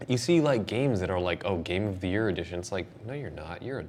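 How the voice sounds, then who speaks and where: A man's voice: low voice, fast talker. A young man hosting a casual panel discussion, speaking emphatically and with strong opinions, recorded in a noisy room. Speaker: host of a video game discussion show. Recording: noisy room recording, steady background noise.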